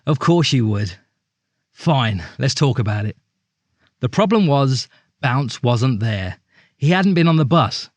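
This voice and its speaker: A man's voice: medium pitch, animated, unhurried, pauses often, dry recording. A man playing a suspicious storybook character in an audio drama, insistently and dramatically laying out his theory with rhetorical questions. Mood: grudging. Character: suspicious, accident-prone storybook man airing conspiracy theories.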